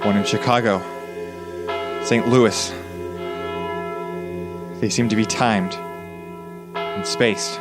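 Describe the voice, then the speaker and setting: Grave male newsman acted by a man, low-voiced and halting, radio play over music. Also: fairly even intonation. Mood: grave urgency. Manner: slow, halting eyewitness commentary. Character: grave male radio news announcer witnessing an invasion. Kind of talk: 1930s radio drama acting.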